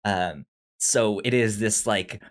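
A man talking casually about a film as a podcast co-host, halting and often stammering on repeated syllables. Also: on the low side.

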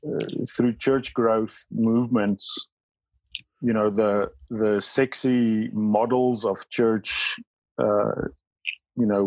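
A man's voice: on the low side, no echo, poor audio. A South African man whose first language is Afrikaans, speaking as a church leader in an interview, thoughtful, talking in bursts with many fillers and restarts. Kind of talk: interview conversation.

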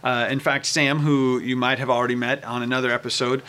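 A man's voice: mid-range voice, level tone, fairly quick, pushed and bright, smooth. A man with an American accent presenting and interviewing as a show host in an informal, enthusiastic, conversational way.

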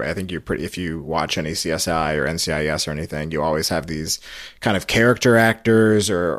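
A man's voice: sits low, a bit bright, no echo, clean studio sound. An American man hosting a podcast, chatting casually through a recap. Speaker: podcast host.

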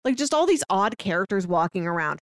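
A woman's voice: medium pitch, very animated, brisk, no room sound, clean studio sound. A woman co-hosting a movie podcast, giving a casual, wry conversational recap. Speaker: podcast co-host reviewing films.

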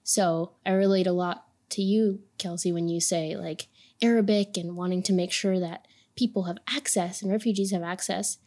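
A woman's voice: lowish voice, steady tone, normal speed, mellow. A woman from Puerto Rico, a native Spanish speaker, speaking earnestly and passionately as a podcast guest about her advocacy work. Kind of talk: podcast conversation.

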